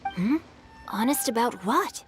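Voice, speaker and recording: A woman's voice: on the high side, expressive, slow, music in the background. A woman voicing a polite, bookish teenage boy in a video game, articulate and a little sheepish. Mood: sheepish, earnest. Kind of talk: video game character dialogue.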